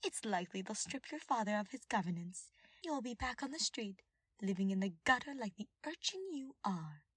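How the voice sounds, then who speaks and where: A woman voicing a smug, mocking female royal advisor who gloats in a drawn-out, scheming way in an audiobook-style story. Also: mid-range voice, sing-song, fairly bright tone, slightly rough, no echo, clean studio sound, voice pushed up. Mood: gloating.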